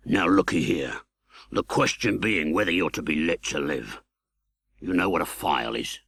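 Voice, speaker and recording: A man voicing a menacing, rough escaped convict in an audiobook, with a harsh, rasping voice and an English working-class dialect. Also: sits low, normal speed, a bit bright, no echo, studio quality, heavily acted. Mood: gruff, probing. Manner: threatening, growling, uneducated speech. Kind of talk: audiobook character acting.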